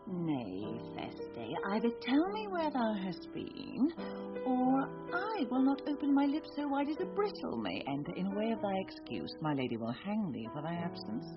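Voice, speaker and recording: An actress playing a sharp-tongued, bossy, witty maidservant in a Shakespearean radio comedy, scolding and pert. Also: female voice, a little high, wildly swooping pitch, moderate pace. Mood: teasing scolding. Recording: dull recording over plucked guitar music.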